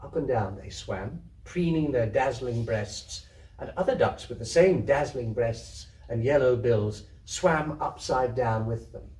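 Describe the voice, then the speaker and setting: A man's voice: mid-range voice, measured pace, a little mellow. A man reading a literary story aloud, with dramatic, descriptive delivery. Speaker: story reader, theatre troupe member. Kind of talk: expressive literary narration to an audience. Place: indoor community venue. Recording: echoey room, distant microphone.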